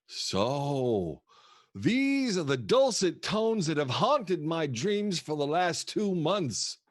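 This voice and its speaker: An actor playing a kooky old grandfather who tells rambling, theatrical, halting stories to his grandchildren in a comedic audio play. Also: a little high, very animated, no room sound, studio quality. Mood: wistful. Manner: theatrical, wistful, halting storyteller.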